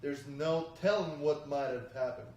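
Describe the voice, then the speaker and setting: A man reading a guarded, menacing gang leader at a script table read, stern and deliberate. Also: on the high side, darkish tone, dull audio, natural voice. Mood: wary. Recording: echoey room, distant mic.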